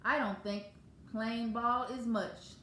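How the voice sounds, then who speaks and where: A woman's voice: fairly high pitch, fairly even intonation, slow pace, fairly bright tone, echoey room, a little hiss or hum, pitched above the performer's own voice. A woman voicing a small, eager, polite puppy in a children's storybook reading. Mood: brushing it off. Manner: polite, wishful.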